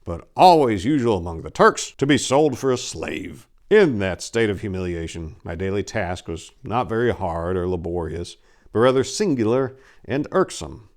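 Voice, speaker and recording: A man voicing a boastful gentleman adventurer baron, telling tall tales in a grandiose, dramatic storybook reading. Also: fairly low pitch, expressive, moderate pace, close to an everyday voice. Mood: grandiose, earnest.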